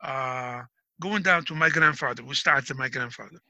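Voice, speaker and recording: A middle-aged man speaks with a piercingly bright, tense tone, laying things out as if teaching a class. His delivery is proud and emphatic. The sound is dry and close, with no room echo. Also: mid-range voice, moderate pace, muffled recording. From Algeria. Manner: explains like a teacher. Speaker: interviewee. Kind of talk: casual interview talk.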